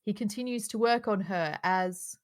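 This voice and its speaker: A woman's voice: mid-range voice, moderate pace, smooth. A woman reading stage directions aloud at a live table read, in an informal narrating style. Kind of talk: live script narration with casual asides.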